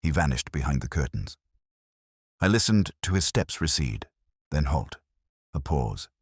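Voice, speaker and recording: A man narrating a dramatic mystery story in a measured, solemn manner with short, deliberate phrases. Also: very deep voice, sing-song, breathy edge, no echo, studio quality.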